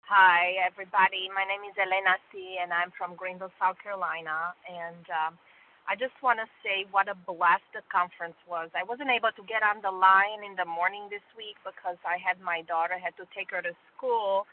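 A woman originally from Romania sharing earnestly at a recovery meeting by phone, halting with restarts. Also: mid-range voice, fairly even intonation, slight background noise. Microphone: phone call. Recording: phone line.

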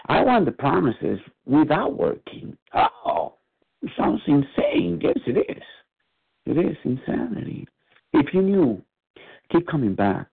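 A man, sharing at a phone support meeting in a halting, exclamatory way. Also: medium pitch, vocal fry, husky. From Cuba. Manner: halting, animated, exclaims often. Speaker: meeting participant. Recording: phone line.

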